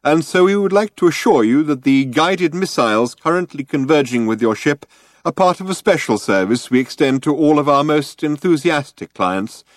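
A man's voice: medium pitch, no room sound, studio quality, voice pushed up, lightly acted. A man voicing an ancient recorded announcer, a formally polite old man with an undertone of menace. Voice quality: hollow, reedy, insubstantial. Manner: formal, over-polite, coldly official.

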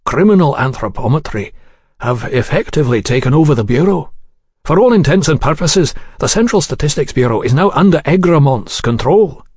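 A man voicing an anxious, defensive English gentleman official in an audiobook, clipped, aggrieved and bitter. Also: on the high side, expressive, fairly quick, breathy edge, no echo, clean studio sound, voice pushed up. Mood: exasperated. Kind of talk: audiobook character dialogue.

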